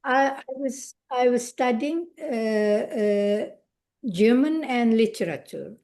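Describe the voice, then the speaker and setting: An older woman from Indonesia, whose first language is Indonesian, speaking haltingly and searching for words in an interview. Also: fairly high pitch, expressive, warm tone. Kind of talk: conversational interview.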